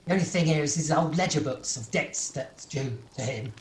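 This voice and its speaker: A man's voice: fairly high pitch, expressive, moderate pace, lightly acted. A man playing an uncouth, smug, scheming thief in an amateur radio play. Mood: scheming, vindictive. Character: uncouth, unkempt, scheming thieving husband. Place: at home, recorded over video call. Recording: echoey room, faint noise, video call.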